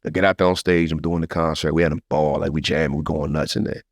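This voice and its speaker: A man's voice: rumbling low, fast, dry recording, clean studio sound. An American man hosting a podcast, telling stories casually and animatedly and encouraging listeners in a heartfelt, preacher-like way. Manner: animated storyteller, slips into encouraging preacher-like address. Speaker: podcast host. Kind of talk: casual, heartfelt testimony and conversation.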